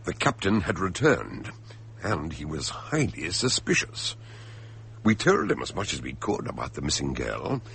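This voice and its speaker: An actor playing an anxious, cautious gentlemanly doctor who narrates and takes part in a radio mystery, grave and measured. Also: male voice, extremely low pitch, wildly swooping pitch, vocal fry, slightly rough, heavily acted. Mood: storytelling. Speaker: narrator and character. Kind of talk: radio drama narration and dialogue.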